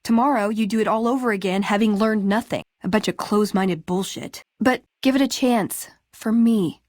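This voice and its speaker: A woman's voice: medium pitch, normal speed, darkish tone, no room sound, clean studio sound, lightly acted. An American actress playing a spirited, sarcastic young woman, a scrappy scavenger and brawler companion in a video game. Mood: earnest, frustrated. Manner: sarcastic, playful, quippy. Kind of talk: video game dialogue acting.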